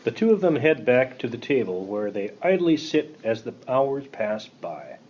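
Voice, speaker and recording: A man's voice: mid-range voice, very animated, normal speed, lo-fi sound. A man reading scripted narration and scene directions for a radio play. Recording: loud rain and background noise.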